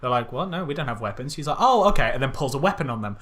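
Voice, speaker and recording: A man's voice: mid-range voice, animated, rapid-fire, a bit bright. A man co-hosting a casual, chatty film critique in a sardonic, exasperated manner. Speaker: podcast co-host.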